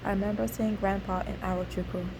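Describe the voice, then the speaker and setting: A woman whose native language is Igbo narrates a scripted first-person memoir, reading in a halting way with occasional slips. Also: medium pitch, level tone, gravelly, noisy background. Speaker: memoir author reading own story.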